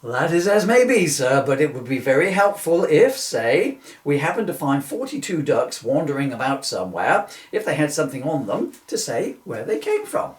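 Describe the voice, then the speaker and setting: A man's voice: on the high side, sing-song, normal speed, few pauses. Man acting an exasperated policeman in an echoey room. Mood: officious. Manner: officious politeness giving way to exasperation. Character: exasperated, sarcastic male police constable taking a report. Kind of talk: comic two-hander read from a book. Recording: distant, echoey room recording.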